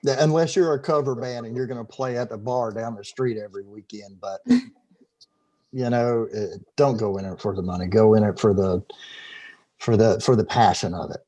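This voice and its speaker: Man, middle-aged, mellow dark voice, rambling, repeats words, video call audio. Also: on the low side, steady tone, normal speed. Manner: talkative, stumbles and repeats words, laughs easily. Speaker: interview guest, filmmaker. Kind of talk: casual video call interview. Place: home room.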